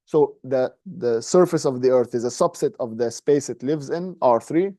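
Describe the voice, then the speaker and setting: A man's voice: medium pitch, dark tone, no room sound, clean studio sound. A man in his thirties with an Egyptian accent giving an informal, explanatory classroom math lecture, often checking in with short tag questions. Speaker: university lecturer. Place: medium-sized indoor classroom, standing at a presentation screen.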